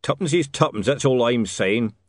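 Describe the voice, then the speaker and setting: A man voicing a gruff, practical male dwarf in an audiobook, blunt and muttering in delivery. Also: medium pitch, lively intonation, normal speed, dry recording, studio quality, close to an everyday voice. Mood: grumbling.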